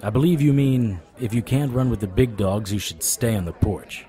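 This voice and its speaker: A man's voice: fairly low pitch, moderate pace, dark tone, close to an everyday voice. An actor playing a wry, sarcastic hard-boiled private investigator who narrates his own noir audio drama. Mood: dry banter. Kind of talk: noir radio drama with first-person narration.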